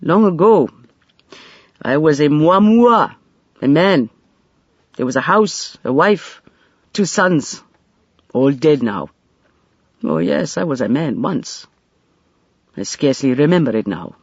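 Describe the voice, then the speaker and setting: A man's voice: fairly high pitch, animated, slow pace, long pauses, no echo, lo-fi sound, exaggerated voice. Man voicing a rueful, scarred African ex-prisoner. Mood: rueful, resigned. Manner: simple, grave, resigned, meditative phrasing. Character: scarred, world-weary African male ex-prisoner, rueful, guarded bicycle mender.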